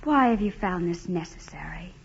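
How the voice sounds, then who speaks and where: An actress in 1940s radio style playing an earnest, anxious young wife, pleading with a cruel aristocratic husband. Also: female voice, on the low side, lively intonation, normal speed, creaky, slightly rough, heavily acted. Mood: earnest, challenging. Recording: muffled, noisy 1940s radio recording.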